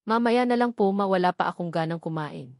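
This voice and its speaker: A woman's voice: fairly low pitch, lively intonation, brisk, a little mellow, dry recording. Woman narrator as heartbroken young wife, plaintive, romance audiobook, dry recording. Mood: sad, listless. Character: heartbroken young wife missing her husband. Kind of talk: dramatised novel reading.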